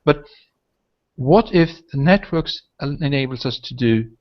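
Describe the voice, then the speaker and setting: Man, Swedish first language, talk host, rambling chatty delivery, mid pitch, muffled video call audio. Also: lively intonation, unhurried. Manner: rambling, frequent "right?" and "I mean". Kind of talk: casual video hangout chat.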